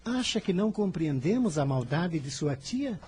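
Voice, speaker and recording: A man's voice: fairly high pitch, sing-song, normal speed, a little mellow, a little hiss or hum. A man playing an earnest, bold, righteous boy disciple in a radio drama, speaking in a formal, solemn and persuasive way. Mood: consoling.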